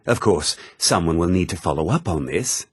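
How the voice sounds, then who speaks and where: Husky, haughty fantasy official. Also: male voice, low voice, expressive, dry recording, studio quality, exaggerated voice. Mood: measured, approving. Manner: formal, measured, precise, condescendingly polite. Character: haughty, formal middle-aged mage and official, courteous but easily exasperated. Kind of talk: fantasy video game dialogue.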